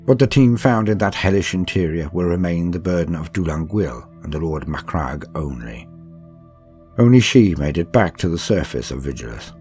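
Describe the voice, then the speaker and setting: Male narrator, low and mellow, calm sleep-aid style book reading, steady and soothing. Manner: measured, soothing, unhurried storytelling.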